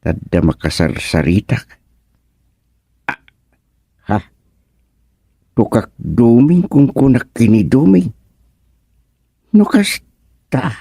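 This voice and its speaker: A man acting a grumbling villager turned frog in a comedic radio drama, halting and punctuated with croaks. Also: medium pitch, big pitch swings, dark tone, no room sound, studio quality, exaggerated voice. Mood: bewildered, agitated.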